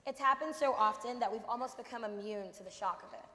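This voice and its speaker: A woman's voice: a little high, fast, sharp tone. A young woman giving an earnest, declamatory readers theater recitation as a student performer. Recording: reverberant hall, audience noise behind.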